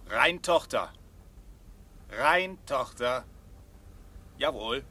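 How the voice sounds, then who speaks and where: A man's voice: medium pitch, lively intonation, very slow, sharp tone, husky, exaggerated voice. An actor playing a grumbling small-time male crook in a 1970s radio crime play. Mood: grumbling, hushed. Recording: noisy 1970s radio drama.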